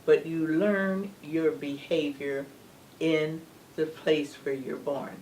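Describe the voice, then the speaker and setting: An older woman speaking as an interview guest, measured and emphatic, often asking rhetorical questions. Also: sits low, fairly even intonation, a little mellow. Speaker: guest, former legislator. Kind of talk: earnest interview talk. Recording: distant mic with faint background hum.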